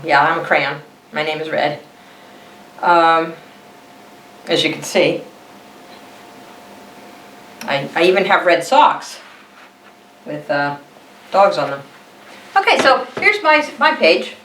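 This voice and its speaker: A woman voicing an overworked, earnest red crayon from a storybook, halting and apologetic as it airs its complaints. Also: lowish voice, animated, fairly bright tone, reverberant, recorded from a distance, slight background noise, pitched below the performer's own voice, poor audio.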